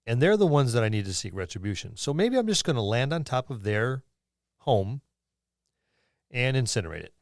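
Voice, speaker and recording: A man co-hosting a podcast, talking informally and haltingly as he thinks aloud about tabletop gaming. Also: medium pitch, sing-song, no room sound, clean studio sound. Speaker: podcast co-host. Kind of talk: casual tabletop gaming discussion.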